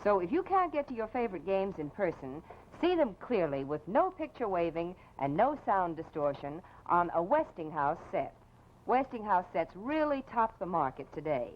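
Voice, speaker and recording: A woman announcer reading a scripted 1950s broadcast commercial in a polished, persuasive way. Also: lowish voice. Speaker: advertising announcer. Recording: dull vintage broadcast with faint noise.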